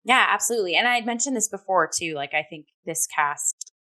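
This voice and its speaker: A woman's voice: medium pitch, animated, fairly quick, bright, forceful tone. A woman co-hosting a casual review chat, conversational and emphatic as she searches for words. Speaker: talk show co-host and theatre reviewer.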